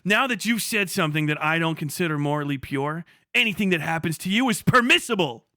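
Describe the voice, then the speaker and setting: Bright, forceful man ranting on a livestream. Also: fairly high pitch, expressive, fairly quick, no room sound, studio quality. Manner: profane, sarcastic, acts out mock dialogues. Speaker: livestream host. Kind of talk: casual livestream political rant, reading chat.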